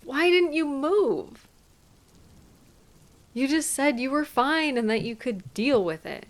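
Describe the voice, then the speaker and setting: A woman playing a caring, gently chiding partner in an intimate audio roleplay, speaking soothingly to a sweetheart. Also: high-pitched, lively intonation, full character voice. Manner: tender, soothing, gently questioning and unhurried. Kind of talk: intimate scripted audio roleplay addressed to the listener.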